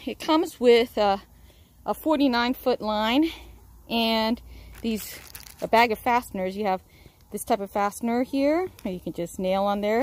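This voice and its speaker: A woman hosting a casual how-to walkthrough outdoors in her backyard, filming on a handheld phone, upbeat and chatty, with fillers and pauses. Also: medium pitch, a little hiss or hum. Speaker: channel host. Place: outdoors in a backyard chicken run.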